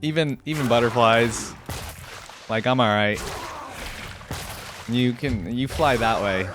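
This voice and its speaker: A man hosting a casual, unscripted reaction video, commenting in a halting, conversational way as he thinks aloud. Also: medium pitch, noisy background. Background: background music.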